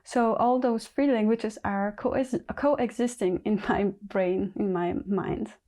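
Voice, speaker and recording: A woman with a Polish accent, a native Polish speaker, hosting a knitting podcast in casual chat, thinking aloud and halting with many pauses. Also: mid-range voice, dark tone. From Poland.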